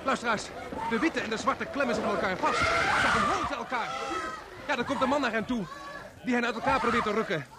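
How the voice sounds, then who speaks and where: An actor playing an excitable live broadcast commentator in a radio play, urgent and exclamatory. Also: male voice, extremely high pitch, fairly quick. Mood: alarmed, urgent. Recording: loud noise around an outdoor-sounding commentary.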